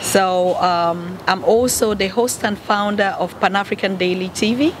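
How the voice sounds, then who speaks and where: Woman, Cameroonian accent, mid-pitched, guest speech at press event, clear traffic noise behind. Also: unhurried. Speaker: guest speaker, media founder.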